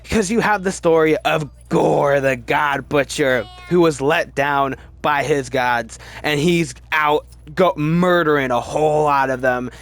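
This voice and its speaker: A man's voice: a little high, a little slow, hardly any pauses, fairly bright tone. A man hosting a casual, opinionated film ranking video, rambling as he goes and often repeating words for emphasis. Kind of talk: casual opinionated movie commentary.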